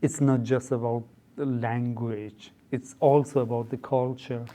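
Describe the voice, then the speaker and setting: Man with a Persian accent, mellow voice. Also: mid-range voice, flat delivery, unhurried. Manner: hesitant, many fillers, rephrases mid-sentence. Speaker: guest, startup founder. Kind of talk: conversational interview.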